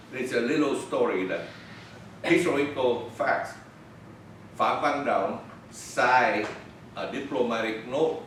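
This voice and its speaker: Older man, Vietnamese accent, slow halting delivery, echoey hall, distant mic, faint background hum. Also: medium pitch, a bit bright. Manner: halting, often restarts and corrects himself. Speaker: panel moderator. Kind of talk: unscripted conference talk. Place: conference room.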